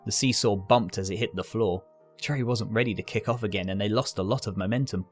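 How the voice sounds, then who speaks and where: A man's voice: fairly low pitch, fairly quick. A man reading scripted story narration as the narrator. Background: music.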